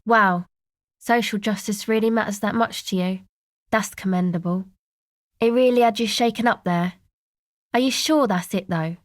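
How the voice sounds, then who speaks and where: A woman's voice: mid-range voice, no echo, clean studio sound, lightly acted. A woman voicing a laid-back, streetwise young woman in a video game, with a casual, slangy, offhand delivery that is blunt but sympathetic. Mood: curious, probing. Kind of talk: naturalistic video game dialogue.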